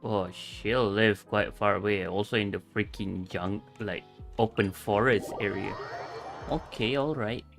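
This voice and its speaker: A man's voice: fairly low pitch, a little slow, vocal fry. A man doing casual, reactive live game commentary as a streamer, full of slang. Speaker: streamer, VTuber. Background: game music.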